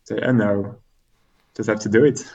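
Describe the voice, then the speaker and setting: A man's voice: lowish voice, fairly even intonation, normal speed, creaky, dull audio, a crowd in the background. A young Belgian man, a native French speaker talking English with a French accent, answering interview questions hesitantly with many fillers. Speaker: triathlete guest.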